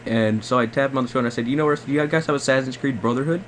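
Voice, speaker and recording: A man's voice: medium pitch, racing. A man making a polite phone complaint, hesitant and repetitive, circling back over his words. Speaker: prank caller posing as a customer. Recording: faint background noise on recorded call.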